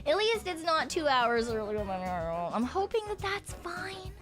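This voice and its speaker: A woman's voice: high voice, sing-song, normal speed, over music. Chatty streamer woman, slightly throaty voice. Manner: rambling, chatty, swears casually, laughs often. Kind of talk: casual chat stream.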